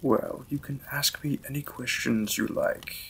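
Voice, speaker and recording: A courteous vampire lord and gentle new husband from a Victorian romance audio drama, played by a man. He speaks slowly and formally, with a crisp, bright edge and a creaky fry in his voice. His manner is polite and reserved, and there is clear noise behind the recording. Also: medium pitch, low-quality recording. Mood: calm, reassuring. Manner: formal, polite, unhurried phrasing.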